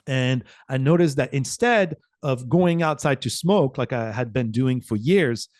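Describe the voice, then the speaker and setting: A man from France, a communication professor, telling a short inspiring personal story with earnest, emphatic pauses. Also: mid-range voice, a little mellow, dry recording, clean studio sound.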